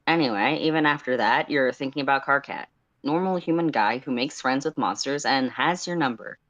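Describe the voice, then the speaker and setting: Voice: medium pitch, normal speed, a bit bright, highs cut off. A person of unclear sex narrating fan fiction and chatting casually as a stream co-host.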